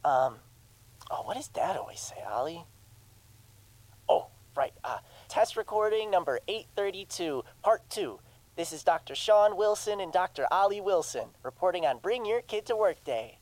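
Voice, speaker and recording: A woman's voice: fairly low pitch, lively intonation, normal speed, darkish tone, dry recording, lightly acted, low-quality recording. A woman voicing an earnest, timid twelve-year-old boy in an audio drama, hesitant and stammering as he pleads and rambles. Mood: curious, rambling.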